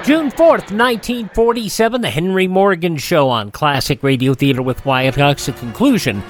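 A man's voice: a little high, moderate pace. A man hosting a radio show, announcing and reading ads in a host's style. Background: music.

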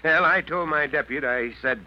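A man acting as an easygoing veteran fire chief, weary and ready for a rest. His slightly high voice is genial and unhurried, heard on a muffled 1940s radio drama recording. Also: natural voice.

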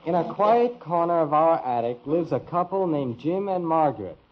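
An American actor playing a brash, nosy teenage son in an old-time radio sitcom, with an offhand, slangy manner. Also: male voice, fairly high pitch, sing-song, moderate pace. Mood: reading aloud. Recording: dull vintage radio recording.

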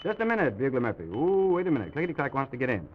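A man hosting an old-time children's radio show, speaking gently and simply to small children as he tells a make-believe story. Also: medium pitch, sing-song, very fast, noisy background. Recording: dull old radio recording with music.

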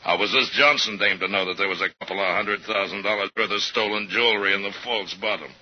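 Husky, breezy cop sidekick played by a man. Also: fairly low pitch, quick pace, close to an everyday voice. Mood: amused, explaining. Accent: American. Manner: chatty, slangy. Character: breezy, amused male police partner. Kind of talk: 1950s radio thriller acting. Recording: very muffled old radio recording.

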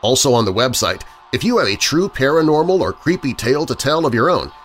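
A man's voice: medium pitch, sing-song, a little fast, fairly bright tone. A man hosting a podcast, reading a scripted outro. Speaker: podcast host. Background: music.

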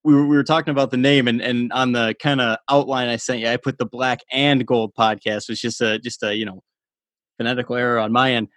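A man's voice: medium pitch, steady tone, fairly quick, a bit bright, no room sound. A man hosting a sports podcast interview, chatty and casual with lots of fillers and laughter. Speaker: podcast host.

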